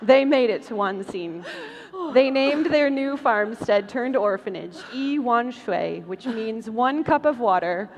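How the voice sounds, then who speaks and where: A woman's voice: fairly high pitch, expressive, measured pace, darkish tone. A woman host reading scripted narration as part of a live comedic stage show. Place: large convention hall, live stage. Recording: noisy hall with long reverb.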